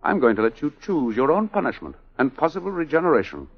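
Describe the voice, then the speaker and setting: Casting: suave, obsessed male surgeon, commanding, very animated intonation, vintage radio melodrama, muffled sound. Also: a little high, husky, exaggerated voice. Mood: intense, grave. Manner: deliberate, theatrical, commanding. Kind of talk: 1940s radio melodrama acting. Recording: muffled old 1940s radio recording.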